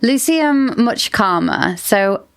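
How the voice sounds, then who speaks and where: A woman's voice: on the high side, expressive, slow, gravelly, clear, resonant tone, no room sound. A woman hosting a book podcast, chatting casually and thinking aloud, with lots of "like" and hedging.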